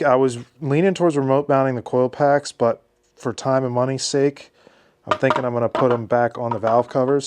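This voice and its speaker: A man's voice: medium pitch, a little mellow. A congested man with a head cold narrating his car build in a cluttered garage, laid-back and thinking aloud with restarts. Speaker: car builder filming his shop project. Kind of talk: casual how-to narration. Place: cluttered home garage shop.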